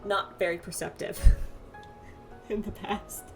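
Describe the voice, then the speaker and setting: A woman giving casual commentary while playing a game, expressive and prone to correcting herself mid-sentence. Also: mid-range voice, slow. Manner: expressive, slips and self-corrects while reading. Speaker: game streamer. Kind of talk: casual gaming commentary and reading quest dialogue aloud. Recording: distant mic, music behind.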